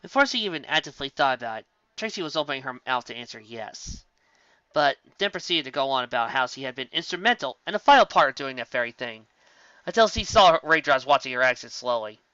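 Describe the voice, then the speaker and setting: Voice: medium pitch, animated, fast talker, pushed and bright, dry recording, dull audio. A narrator reading a fantasy story aloud as scripted narration, occasionally stumbling over the words.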